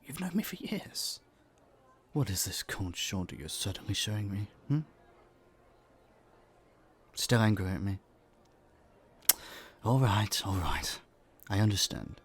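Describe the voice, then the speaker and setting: Low, creaky gentlemanly young prince acted by a man. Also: lively intonation, unhurried, long pauses, full character voice. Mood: teasing, warm. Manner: playful, polite, slightly flustered, hesitant stammers. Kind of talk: romantic audio roleplay, speaking to a listener. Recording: faint noise, background ambience.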